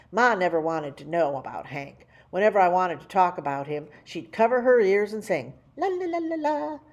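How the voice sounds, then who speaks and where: A woman reading a children's picture book aloud in an animated, folksy storytelling way. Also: fairly low pitch. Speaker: storytime reader.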